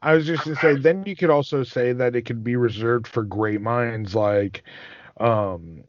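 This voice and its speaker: Earnest male talker, mid-range, casual and slightly tentative, on a dry, softened recording. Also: medium pitch, normal speed, no room sound. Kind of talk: casual chat, earnest opinion. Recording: dry, slightly muffled close sound.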